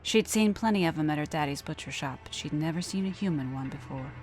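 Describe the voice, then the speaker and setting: A woman narrating a scripted horror story dramatically, often dropping to a hush at the ends of phrases. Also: sits low, animated, fast talker. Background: background music.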